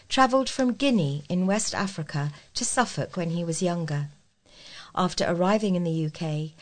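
A woman reading scripted local news stories aloud as a volunteer news reader. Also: medium pitch.

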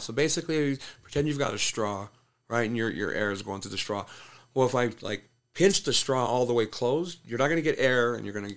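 A man's voice: medium pitch, vocal fry. A man who coaches fighters, telling a fight story bluntly in casual conversation, swearing freely. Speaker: MMA coach and fighter, podcast guest.

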